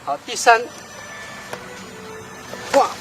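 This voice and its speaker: A man's voice: drawn-out words, music in the background. A man demonstrating martial arts self-defense, emphatic and asking rhetorical questions. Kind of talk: demonstration explanation. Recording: outdoor clip with traffic noise.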